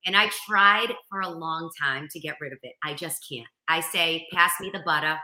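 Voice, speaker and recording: A woman's voice: low-pitched, moderate pace, sharp tone, roomy sound, rough recording. A woman with a strong Boston accent, a motivational speaker chatting earnestly as a podcast guest. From Boston area, Massachusetts. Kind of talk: casual interview chat.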